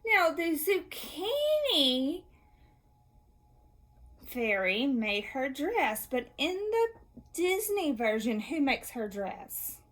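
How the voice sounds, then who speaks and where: A woman with a high, very expressive voice speaks as a teacher to young children. She takes her time, in an encouraging read-aloud style, posing simple questions and confirming the answers. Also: very slow. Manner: encouraging, praising, asks questions and answers them.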